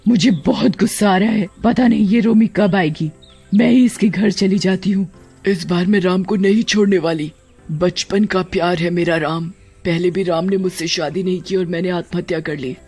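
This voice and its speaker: A woman's voice: fairly low pitch, a little mellow, husky. A woman voicing a scheming, vengeful, lovesick witch ghost in a cartoon drama, over music.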